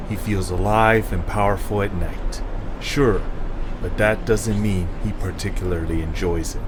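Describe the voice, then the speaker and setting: A man's voice: lowish voice, background noise. A man narrating a scripted story for an audio drama.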